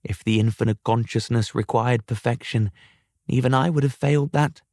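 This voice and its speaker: A man voicing a calm, guiding female spirit in a storybook reading, serene, formal and cryptic. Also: medium pitch, moderate pace, dry recording, clean studio sound, natural voice. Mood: instructing. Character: calm, guiding ghost of Scrooge's sister.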